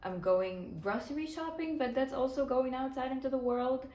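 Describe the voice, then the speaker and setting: A woman's voice: high voice, normal speed, warm tone. A young woman whose first language is Ukrainian, chatting casually about the books she is reading, thinking aloud with restarts. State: tired. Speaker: book vlogger. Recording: roomy, far from the mic, faint background ambience.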